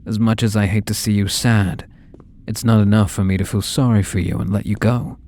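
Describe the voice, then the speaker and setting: An actor playing an obsessive, possessive young male stalker in an intimate ASMR roleplay, coaxing softly with falsely tender menace. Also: lowish voice, steady tone, lightly acted. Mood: soothing, menacing. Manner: soft, coaxing, menacing, slow and deliberate.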